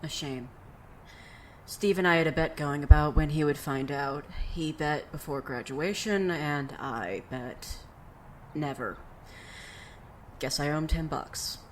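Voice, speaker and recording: An actor playing a sardonic, profane young rescuer with a vengeful streak and a dry, deadpan delivery in an audio drama. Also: mid-range voice, a little slow, close to an everyday voice. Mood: dry, teasing. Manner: deadpan, dry, hesitant in places. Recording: clear noise behind the voice.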